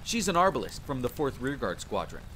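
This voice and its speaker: The young recruit who chats up every stranger at a rainy army camp in a fantasy audio play a man acting, lively and eager, voice leaping about over the patter. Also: brisk. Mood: friendly, chatty. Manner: talkative, eager, bantering. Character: friendly, chatty, excitable young male soldier, fantasy spearman. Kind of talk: immersive roleplay audio drama addressed to the listener. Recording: constant rain and rumble behind voice. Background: rain, campfire ambience, rolling thunder.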